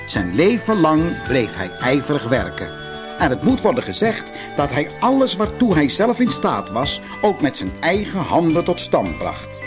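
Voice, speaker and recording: A man reading a scripted fairy tale for children as an expressive narrator. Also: a little high. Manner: expressive storytelling. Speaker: storybook narrator. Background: music.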